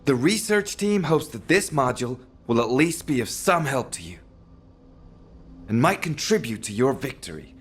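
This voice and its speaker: An actor playing a solemn, thoughtful male researcher in a video game, speaking in an earnest, reflective way with a husky voice. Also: mid-range voice, big pitch swings, normal speed, lightly acted. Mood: earnest, pensive. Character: solemn, thoughtful male researcher confiding in a long-time comrade.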